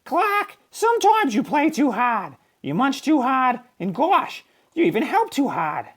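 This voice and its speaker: High-voiced lady fish teacher, played by a man. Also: huge pitch swings, a little slow, exaggerated voice. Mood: patient. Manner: high falsetto, gently instructive. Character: patient, kindly female fish schoolteacher.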